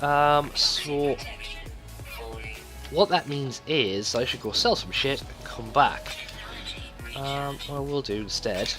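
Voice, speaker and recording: A man hosting a gaming video, talking casually and thinking aloud in a conversational let's play commentary. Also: fairly high pitch.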